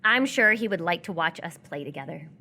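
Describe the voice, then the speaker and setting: Woman acting bright, teasing, coy young woman. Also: fairly low pitch, lively intonation, a little hiss or hum. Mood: evasive, defensive. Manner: playful, evasive, hesitant pauses. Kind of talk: live radio theatre dialogue.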